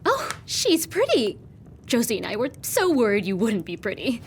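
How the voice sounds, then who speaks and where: An actress playing a playful, imperious young aristocratic fiancée in an audio drama, effusive and teasing. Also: female voice, on the high side, wildly swooping pitch, moderate pace, a little hiss or hum. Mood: breezy, teasing.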